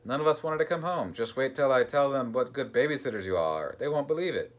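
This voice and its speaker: A man voicing a brash pirate mother who booms and bellows in a storybook reading. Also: animated, fast talker, lightly acted. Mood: brash, pleased. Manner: booming, bellowing.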